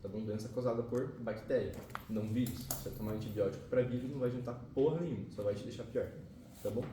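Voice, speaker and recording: A man's voice: mid-range voice, fast talker, warm tone, recorded from a distance. A male biology teacher, giving a casual, slangy classroom lesson with occasional swearing and frequent check-ins with the students. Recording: echoey classroom picked up from afar, noisy.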